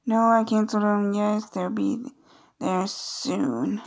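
A woman's voice: medium pitch, expressive, slow pace, vocal fry. A woman doing casual, playful gameplay commentary, reading on-screen dialogue aloud with chatty, amused asides. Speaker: gaming video creator.

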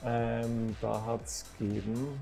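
An Austrian man in his thirties sits in a club café and answers interview questions. He sounds calm and unassuming, speaks at a middle pitch, and keeps his sentences plain. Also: slow pace, creaky, soft background music. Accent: Austrian German. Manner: calm, modest, plain-spoken. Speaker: professional footballer, guest. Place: football club café with shelves, indoors.

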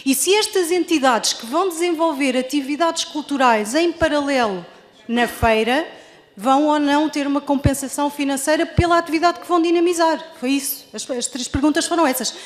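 A woman with a European Portuguese accent and a high voice, speaking as a deputy in a municipal assembly. Her delivery is formal and measured. The sound has the long reverb of a large council chamber. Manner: formal and measured, then more direct when restating her questions. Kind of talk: formal statement at a council meeting.

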